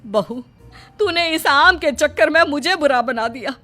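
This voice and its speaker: Woman acting a high, bossy mother-in-law. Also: expressive, unhurried, bright, forceful tone, soft background music, heavily acted. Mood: indignant. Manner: scolding, exclamatory, theatrical. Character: bossy, sarcastic middle-aged Indian mother-in-law. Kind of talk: Hindi cartoon story dubbing.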